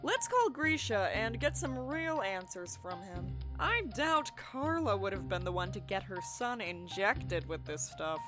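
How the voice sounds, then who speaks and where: A woman playing a bubbly, excitable scientist. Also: mid-range voice, unhurried, hardly any pauses. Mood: playful. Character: excitable eccentric scientist, gleeful and chatty. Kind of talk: fan audio drama acting. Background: music score.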